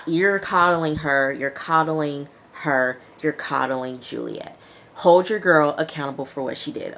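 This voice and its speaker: A woman's voice: low-pitched, a bit bright. A woman giving a casual, conversational and opinionated reality TV recap, acting out what people said as she goes. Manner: conversational, opinionated, acts out quotes. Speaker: TV show reviewer.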